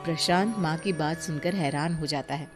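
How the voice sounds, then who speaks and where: A woman's voice: low-pitched, normal speed, faint music behind. A woman narrating a scripted moral story as the storyteller.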